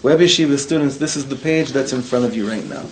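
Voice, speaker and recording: Rabbi guy giving a casual class, mid pitch, lively and loose, slight hiss behind. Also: fast talker, poor audio. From Israel. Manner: informal, animated, sprinkles Hebrew and Yiddish words. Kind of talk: casual spoken Torah class. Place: classroom with live students.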